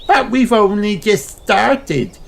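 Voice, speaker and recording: A man voicing an excitable, cheerful little girl pig in a children's storybook, squealing and exclaiming eagerly. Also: high voice, takes it slowly, creaky, pitched above the performer's own voice, heavily acted.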